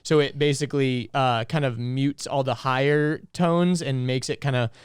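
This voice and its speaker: A man's voice: medium pitch, fairly even intonation, normal speed, smooth, dry recording, clean studio sound. A young man co-hosting a podcast, chatting casually and rambling with lots of fillers. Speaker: podcast co-host. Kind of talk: casual chat.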